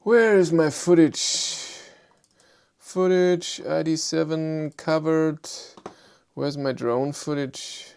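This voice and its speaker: A man with a thick German accent hosting a casual live chat, low-key and hesitant, with many fillers as he answers viewers. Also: fairly even intonation, slow pace, gravelly, a little mellow, clean tone. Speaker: livestream host.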